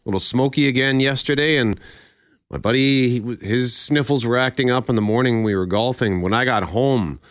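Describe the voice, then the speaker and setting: A man's voice: mid-range voice, normal speed, dry recording, studio quality. A man co-hosting a radio show in easygoing, casual chat, a bit sniffly from allergies. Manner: easygoing, chatty with restarts.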